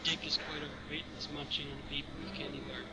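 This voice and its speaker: A man's voice: a little high, flat delivery, quick pace, noisy background. A man narrating stage directions in an informal read-aloud of a screenplay.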